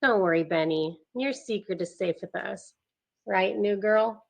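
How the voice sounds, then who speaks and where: A woman's voice: mid-range voice, unhurried, creaky. A woman playing a brash, volatile young psychiatric patient in a horror script table read. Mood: taunting, cocky. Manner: taunting and cocky, breaking into screaming panic. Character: Sasha, brash, mean, unhinged young female psychiatric patient.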